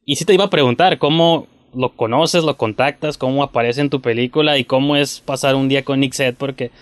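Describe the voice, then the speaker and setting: Dude interviewing, rambly and slangy, dry close sound. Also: male voice, mid-range voice, steady tone, a little fast, no echo. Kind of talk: casual interview talk.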